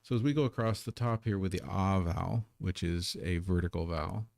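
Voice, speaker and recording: A low-voiced man with a gravelly edge, a native English speaker teaching Korean in a live stream. He talks casually and modestly and often repeats a word while he gets set up. His voice sounds dry and close. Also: fairly quick, a little mellow, dry recording. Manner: casual, self-deprecating, repeats words while setting up. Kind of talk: live-streamed language lesson.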